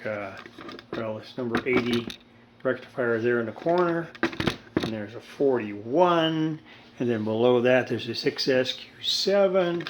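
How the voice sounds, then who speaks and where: A man in his seventies giving a casual, rambling, folksy hands-on repair demonstration with dry humor. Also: medium pitch. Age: 70s. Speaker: hobbyist radio restorer. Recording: steady background noise, homemade video.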